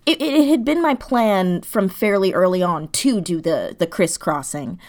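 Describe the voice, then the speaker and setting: A woman speaking casually as an interview guest, animated and self-deprecating, and quick to laugh. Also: fairly low pitch. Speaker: interview guest, audio drama writer and performer.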